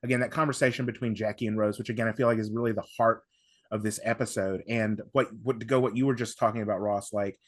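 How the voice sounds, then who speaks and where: A man's voice: on the low side, fairly even intonation, fast, dry recording, studio quality. A man speaking as a podcast panelist in casual analytical discussion, emphatic, often interrupting and repeating himself.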